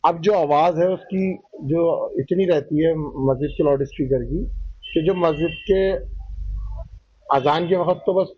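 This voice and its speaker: A man's voice: on the high side, a little slow, slightly rough, no room sound, lo-fi sound. A man giving a spontaneous opinion in a news interview.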